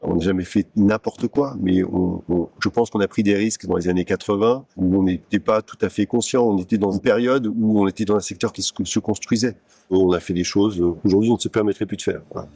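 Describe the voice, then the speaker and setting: A man in his sixties with a low, gravelly voice, recounting his career path in a showroom interview. He speaks in a measured way, often hesitating and repeating words as he gathers his thoughts. The sound is dry and close. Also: steady tone, mellow, no echo. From France (Paris). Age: 60s. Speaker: company executive. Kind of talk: interview monologue, personal career story. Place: company showroom.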